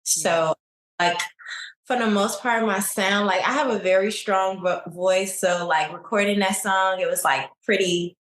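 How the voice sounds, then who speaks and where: A young adult woman from Chicago, a singer, talking in a relaxed, laid-back way in a casual interview. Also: mid-range voice, measured pace. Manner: relaxed, laid-back, many likes and you knows. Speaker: singer guest. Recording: echoey room.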